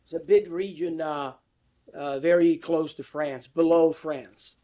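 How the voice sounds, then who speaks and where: A man's voice: fairly high pitch, steady tone, measured pace, warm tone. A man in his fifties from Italy with an Italian accent, answering conversationally as an academic interview guest on a video call. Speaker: interview guest, university lecturer. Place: indoor room with bookshelves, video call.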